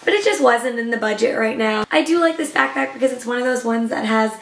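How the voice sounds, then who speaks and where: A young woman, slightly hoarse, chatting enthusiastically as a travel vlogger showing what she packs. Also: on the high side, animated, quick pace, fairly bright tone, reverberant. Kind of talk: casual upbeat vlog talk to camera.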